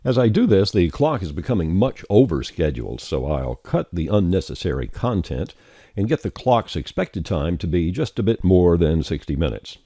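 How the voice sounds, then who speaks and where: Deep, lively man presenting a tutorial. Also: normal speed, husky, no echo, clean studio sound. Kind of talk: scripted tutorial narration, explaining steps on screen.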